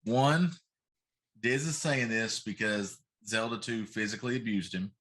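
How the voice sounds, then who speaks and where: A middle-aged man with a fairly low voice chats casually as a show co-host. He is emphatic and likes to exaggerate his complaints for comic effect. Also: a little slow, fairly bright tone. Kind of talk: casual chat.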